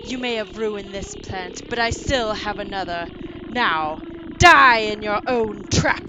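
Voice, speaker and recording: A woman's voice: medium pitch, takes it slowly, noisy background, lightly acted. A woman playing a grandiose, mocking supervillain bent on conquest in a pulp audio drama. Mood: gloating.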